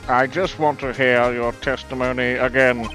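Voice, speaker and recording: A man voicing a proud, formal but flustered male prosecutor in a game fan dub, halting and stiffly pompous. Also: medium pitch, flat delivery, fairly bright tone, faint music behind, lightly acted, low-quality recording. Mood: stiff, awkward.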